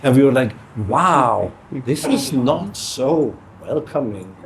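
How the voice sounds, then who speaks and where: A middle-aged man, speaking as an artist in a relaxed conversation and pausing often to search for words. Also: medium pitch, crowd noise. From Denmark. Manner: halting, searching for words with many fillers. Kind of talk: casual conversation.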